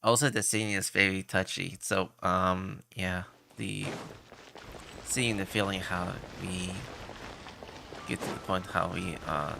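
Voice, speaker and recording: A man chatting casually as a game livestreamer, halting and rambling with hesitant phrasing. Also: low voice, steady tone, vocal fry, bright, forceful tone, slight background noise. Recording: game music behind the voice.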